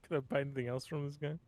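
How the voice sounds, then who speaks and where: A man's voice: mid-range voice, darkish tone. A man chatting casually as a player in a tabletop roleplaying game, commenting and checking rules.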